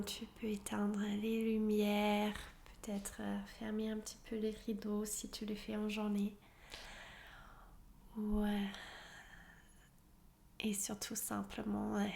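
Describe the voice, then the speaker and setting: A woman leading a guided relaxation as a yoga nidra teacher, soothing and unhurried, often in a breathy half-whisper. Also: mid-range voice, a little mellow, a little hiss or hum. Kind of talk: guided meditation, soft-spoken relaxation instruction.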